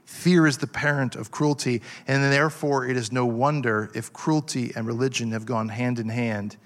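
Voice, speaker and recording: A man in his forties preaching a passionate sermon, halting with fillers and restarts as he builds his point. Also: medium pitch, steady tone. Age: 40s. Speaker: pastor.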